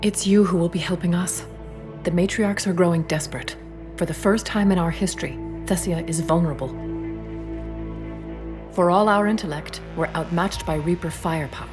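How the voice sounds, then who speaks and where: A woman playing a dignified alien diplomat in a sci-fi game, speaking in a measured, formal and solemn manner. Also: fairly low pitch, music in the background, natural voice. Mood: grave, earnest. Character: grave, dignified female alien diplomat, quietly pleading. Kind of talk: cinematic sci-fi game dialogue. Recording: prominent score behind the voice.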